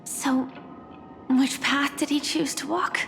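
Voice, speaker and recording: Game role: soft-hearted, sincere young woman, high and polite, in a reverberant space with music. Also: steady tone, takes it slowly, creaky, full character voice. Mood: earnest. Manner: earnest, polite. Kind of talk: video game voice acting.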